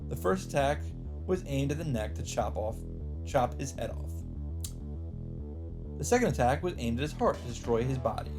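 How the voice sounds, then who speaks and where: A man's voice: medium pitch, big pitch swings, moderate pace, long pauses. A man reading visual novel narration aloud dramatically as a let's player. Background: plucked-string game music.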